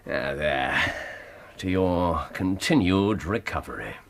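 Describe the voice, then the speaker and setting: An actor playing a brilliant, self-assured Victorian English detective in a radio drama, precise and teasingly amused in manner. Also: male voice, deep voice, slow pace, gravelly, heavily acted. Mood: amused, genial.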